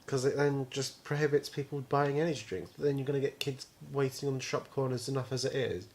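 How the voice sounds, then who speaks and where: A man's voice: mid-range voice, steady tone, fairly quick, darkish tone. A man in his twenties co-hosting a podcast, chatting casually, rambling and swearing offhandedly. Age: 20s. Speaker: podcast co-host. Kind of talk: casual chat.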